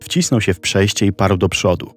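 A man narrating a documentary story in a slow, measured scripted style. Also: low voice, breathy edge. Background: soft background music.

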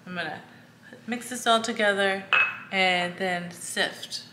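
A woman in her thirties hosting a home cooking video, walking through a recipe step by step in a casual way with a few fillers. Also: mid-range voice. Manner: slow, step-by-step instructions with fillers. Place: home kitchen, indoors. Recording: echoey kitchen with faint noise.

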